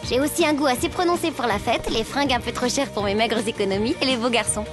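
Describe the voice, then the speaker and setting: A woman playing a talkative, ambitious sixteen-year-old schoolgirl in an animated series, speaking emphatically and colloquially. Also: on the high side, fast, over music, natural voice. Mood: upbeat.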